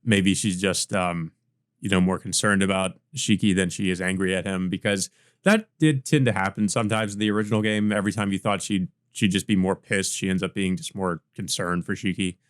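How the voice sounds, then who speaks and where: A man with a fairly low voice reads a visual novel aloud as a let's play host. His delivery mixes narration with casual comment, recorded dry and close. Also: no room sound.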